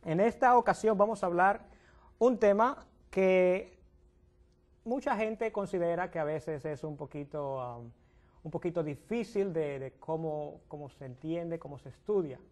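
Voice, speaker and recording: A man's voice: a little high, darkish tone. Man hosting a youth show. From Dominican Republic. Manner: halting, restarts words, addresses viewers at home. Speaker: youth pastor and host. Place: TV studio.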